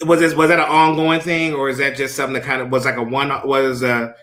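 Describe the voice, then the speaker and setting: A man in his late thirties hosting a casual interview, animated and chatty, laughing often with lots of fillers. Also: medium pitch, fast talker. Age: 30s. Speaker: host.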